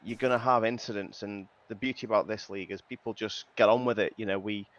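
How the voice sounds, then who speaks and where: A man's voice: on the low side, fairly even intonation, fast, fairly bright tone, muffled recording. A man organising a sim racing league, talking earnestly and at length about its community. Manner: earnest, rambling. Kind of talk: casual chat.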